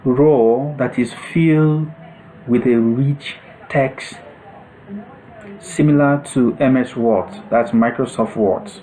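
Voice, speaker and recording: A man narrating a step-by-step software tutorial as an instructor, explaining things in short, separated phrases. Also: medium pitch, slow, rough recording.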